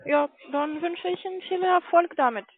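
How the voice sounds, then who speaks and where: A woman's voice: very high voice, normal speed, dry recording. A woman on a sales call, formal, polite but firm. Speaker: call centre sales agent. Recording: narrow phone-line audio.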